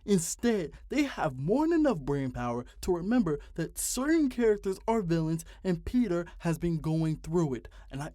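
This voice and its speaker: A man's voice: a little high, very animated, normal speed, few pauses, mellow, dry recording, clean studio sound. A man in his twenties narrating a ranting video essay, exasperated and profane, with heavy emphasis. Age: 20s.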